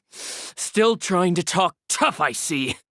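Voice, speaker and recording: An actor playing a menacing, bitter villain in a video game, mocking and taunting. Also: male voice, sits high, big pitch swings, extremely slow, a bit bright, no room sound, clean studio sound, heavily acted. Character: sneering, menacing male villain, bitter former business partner. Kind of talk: theatrical video game voice acting.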